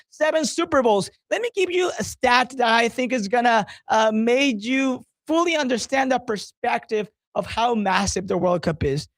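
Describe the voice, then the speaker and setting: A man's voice: very high-pitched, fairly bright tone, no echo. A man speaks as a guest on sports talk radio in a dry, close sound. He is emphatic and promotional, repeating key phrases to drive each point home. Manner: emphatic, builds points with repetition.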